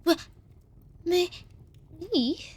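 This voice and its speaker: Woman playing teenage griffin girl, audio roleplay, high voice, unhurried, halting, humble, earnest. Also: no echo, exaggerated voice. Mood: stunned, hesitant. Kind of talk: scripted audio roleplay drama.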